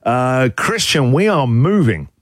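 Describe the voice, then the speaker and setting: Brief: Australian male breakfast host, animated swooping delivery, dry close-mic studio sound. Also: medium pitch, slow pace, no room sound. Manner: excitable, chatty, rising to shouts. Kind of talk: lively radio banter. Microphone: studio microphone.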